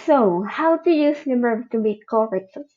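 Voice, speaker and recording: A woman teaching a grammar lesson, slowly explaining each example and checking in with the learner. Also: on the high side, expressive, dull audio. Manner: patient, spells out each example. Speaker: English teacher. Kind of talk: slow recorded grammar lesson.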